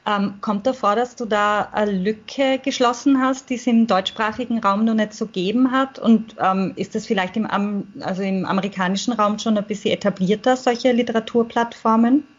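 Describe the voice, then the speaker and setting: A woman's voice: medium pitch, normal speed, hardly any pauses. A woman, asking a guest questions in a friendly, curious way. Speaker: podcast interviewer. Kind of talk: interview questions. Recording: dull, muffled podcast recording.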